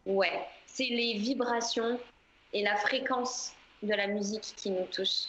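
A woman singer answering interview questions in a relaxed, chatty way, with many fillers. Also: mid-range voice, takes it slowly, echoey room, slight background noise, low-quality recording. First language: French.

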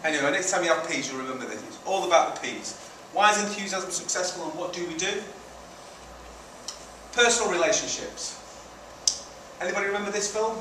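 A middle-aged man with a fairly high voice speaks informally to a meeting. He uses short emphatic phrases and rhetorical questions. The sound is heavily echoey, caught by a distant mic in a community centre room. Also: lively intonation, brisk, noisy background. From Manchester, England, and Italy. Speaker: charity founder giving a presentation. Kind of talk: informal public presentation. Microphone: distant camera at the back of room.

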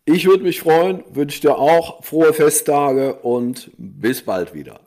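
A man from Germany, a guest expert on a podcast, explaining in a measured way with hesitations. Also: on the high side, darkish tone. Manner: measured explaining with fillers and restarts. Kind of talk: casual podcast interview.

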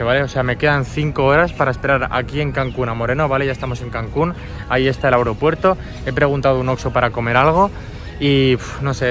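A man's voice: medium pitch, a bit bright. Young Spanish man vlogging to his phone. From Spain. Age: 20s. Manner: chatty, addresses viewers as "gente" and "chavales". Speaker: travel vlogger. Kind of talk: casual vlog to camera. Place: outdoors near an airport, by a parking lot. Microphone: handheld phone camera at arm's length.

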